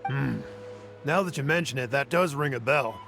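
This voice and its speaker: A man's voice: medium pitch, normal speed, vocal fry, over music, close to an everyday voice. A man voicing a friendly, thoughtful working townsman in a video game. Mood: thoughtful, concerned. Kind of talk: scripted game dialogue.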